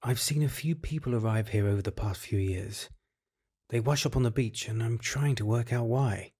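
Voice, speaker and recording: Man playing a husky, secretive lighthouse keeper. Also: medium pitch, normal speed, darkish tone, close to an everyday voice. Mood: coolly probing. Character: secretive, controlling male lighthouse keeper interrogating a captive. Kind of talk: audio drama acting.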